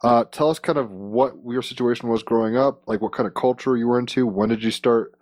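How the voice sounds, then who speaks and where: A man's voice: medium pitch, fairly quick, a little mellow, dry recording, clean studio sound. A man hosting a podcast, interviewing in an informal, rambling, conversational way.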